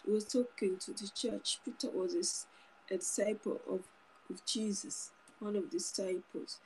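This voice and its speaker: A woman's voice: medium pitch, steady tone, moderate pace, dark tone, a little hiss or hum, rough recording. A woman in her thirties giving an informal, earnest sermon to her phone camera in a small room, often repeating and restarting phrases. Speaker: preacher. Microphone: phone camera close up.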